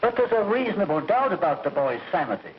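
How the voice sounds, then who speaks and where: Pleading holdout juror, 1930s film, animated and fast, dull old recording. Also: male voice, high voice. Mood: earnest, doubtful. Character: earnest, doubtful male juror holding out. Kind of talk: 1930s film drama acting. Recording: muffled 1930s film soundtrack.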